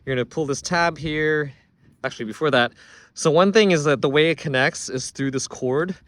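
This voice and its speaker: A man's voice: fairly high pitch, moderate pace, fairly bright tone, dry recording. A man giving a casual, unscripted product review, thinking aloud in a conversational way as he goes.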